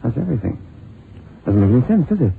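An actor playing an eager, breezy detective's assistant in a 1970s radio drama, chatty and thinking aloud. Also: male voice, mid-range voice, big pitch swings, fast talker, gravelly. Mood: puzzled, eager. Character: eager male detective's legman, breezy and puzzled. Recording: muffled, hissy old radio drama recording.

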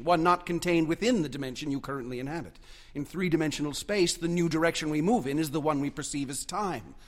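An actor playing an obsessive young mathematics student in a radio drama, eagerly lecturing and insistent. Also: male voice, fairly high pitch, natural voice. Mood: eager, confident. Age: young adult.

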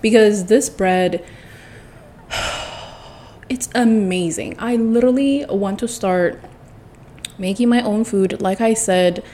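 A woman chatting casually and effusively to her followers, rambling with drawn-out emphatic words, her throat congested and cracking a little. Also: medium pitch, warm tone, slight background noise. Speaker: vlogger addressing followers.

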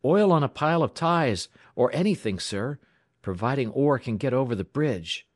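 A male narrator acts out an earnest young telegraph operator in an adventure storybook. The voice is pitched well up, urgent and eager but respectful, and recorded dry. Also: moderate pace, no echo, close to an everyday voice. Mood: urgent, excited.

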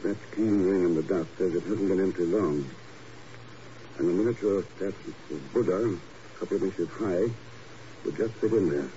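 An actor playing a cool, commanding secret agent in a 1950s radio drama, speaking in a clipped, deliberate way. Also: male voice, sits low, warm tone, close to an everyday voice. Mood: intent. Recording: muffled, hissy old radio recording.

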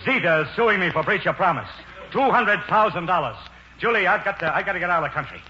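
An actor playing a vain, excitable, theatrical star of stage and screen in 1940s radio drama style. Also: male voice, high voice, fast talker. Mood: indignant, frantic. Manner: theatrical, blustering. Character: flamboyant, vain, excitable male stage-and-film star on the run. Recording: muffled, hissy 1940s radio recording.

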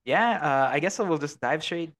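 A man's voice: a little high, expressive, moderate pace, fairly bright tone. A man hosting a casual podcast, rambling with many fillers and restarts. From Filipino American, living abroad. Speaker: podcast host.

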